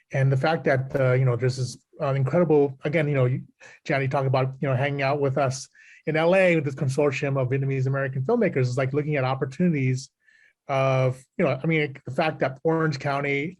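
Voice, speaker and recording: A man who runs a film festival and produces films, answering a panel question in a halting way with many fillers. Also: mid-range voice, a little mellow.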